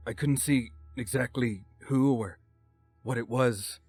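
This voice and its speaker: A man playing a traumatised young survivor of a monster attack, halting and stammering in distress. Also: mid-range voice, darkish tone, husky, dry recording, faint music behind. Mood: traumatised, shaken. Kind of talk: horror voice-over monologue.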